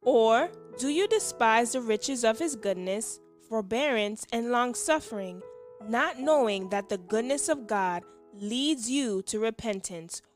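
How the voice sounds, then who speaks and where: A woman's voice: on the high side, expressive, unhurried, soft background music. A woman reading scripture and a scripted religious devotional aloud. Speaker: devotional reader.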